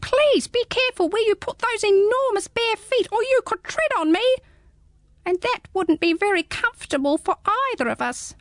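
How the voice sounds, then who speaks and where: Woman voicing a squeaky chatty hedgehog. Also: lively intonation, moderate pace, dry recording, clean studio sound. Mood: curious. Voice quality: high squeaky voice. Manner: fussy, talkative. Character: small chatty, cheeky hedgehog. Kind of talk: storybook radio reading.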